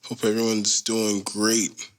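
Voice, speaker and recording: Slow-talking casual man in his thirties. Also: lowish voice, monotone. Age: 30s. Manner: loose, rambling, slangy and joking. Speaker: podcast host. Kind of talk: casual solo podcast chat.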